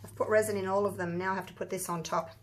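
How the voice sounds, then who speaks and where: An Australian woman with a croaky, husky voice, chatting casually as she walks viewers through a resin craft step by step. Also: medium pitch, fairly even intonation, very fast, slight background noise. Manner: chatty, rambling, talks through each step. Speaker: resin craft channel host. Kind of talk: casual craft tutorial talk.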